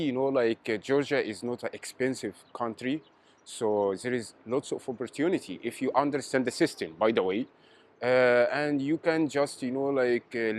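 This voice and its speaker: A man in his twenties from Egypt, an intern doctor answering interview questions outdoors, hesitant, filling with "you know, like". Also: mid-range voice. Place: outdoors in a park.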